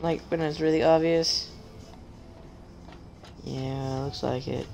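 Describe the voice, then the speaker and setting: A woman with a deep voice, chatting casually as she plays a game on stream, joking at herself and humming while she searches. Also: fairly even intonation, takes it slowly, gravelly, a little mellow, soft background music. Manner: chatty, self-mocking, giggly, hums while searching. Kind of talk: casual gameplay commentary.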